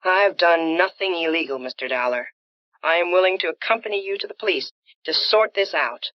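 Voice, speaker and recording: An actress playing a cool, scheming widow in an old-time radio detective drama, composed, formal and quietly menacing. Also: female voice, fairly low pitch, fairly quick, no echo, highs cut off, close to an everyday voice. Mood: cool, defensive.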